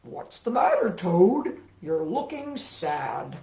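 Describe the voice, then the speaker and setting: A woman's voice: fairly low pitch, sing-song, takes it slowly, vocal fry, darkish tone, full character voice. A woman voicing a caring, eager frog from a storybook, earnest and coaxing. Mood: concerned. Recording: roomy, dull lo-fi sound.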